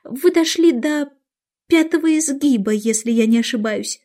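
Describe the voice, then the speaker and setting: A high-voiced, easily offended storybook mouse, played by a woman. She speaks sharply and formally, with indignant sternness. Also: normal speed, dark tone. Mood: offended, stern.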